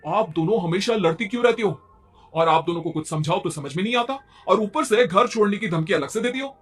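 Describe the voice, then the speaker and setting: A man's voice: sits high, expressive, brisk, fairly bright tone, faint music behind. A man voicing a harried, pleading young husband and son in a Hindi moral-story cartoon. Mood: fed up. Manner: pleading, complaining.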